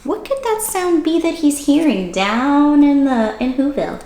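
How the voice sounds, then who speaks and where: A woman reading a children's storybook aloud in an expressive, dramatic way, addressing young listeners directly. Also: high-pitched, a little slow, slight background noise. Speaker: children's read-aloud host. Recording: roomy, echoey sound.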